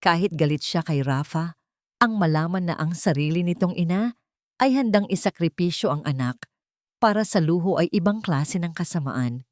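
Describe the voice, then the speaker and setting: A woman's voice: on the low side, expressive, moderate pace, no echo, clean studio sound. A woman narrator reading a dramatic romance story in a scripted storytelling style.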